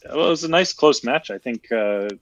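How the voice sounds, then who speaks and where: A man's voice: mid-range voice, animated, normal speed, lo-fi sound, a little hiss or hum. A man chatting casually and joking with a friend while they play a card game on stream. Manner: joking, playful banter.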